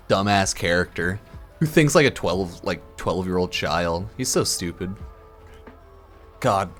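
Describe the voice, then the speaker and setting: A man's voice: lowish voice, a bit bright. Blunt, tipsy man rambling over music. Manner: blunt, profane, opinionated. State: a bit drunk. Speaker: game streamer giving a story review. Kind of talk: casual, rambling stream commentary. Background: music under the voice.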